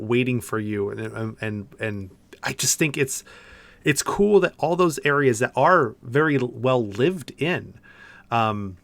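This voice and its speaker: A man's voice: medium pitch, fairly bright tone, dry recording. Guy co-hosting a gaming podcast, casual, feels his way through sentences, really dry recording. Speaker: podcast co-host. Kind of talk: casual video game discussion.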